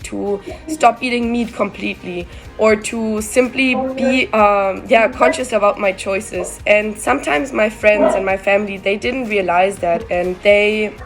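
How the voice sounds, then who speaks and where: A young woman from Costa Rica giving heartfelt, flowing answers as an interview guest. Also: medium pitch. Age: young adult. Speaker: guest climate activist.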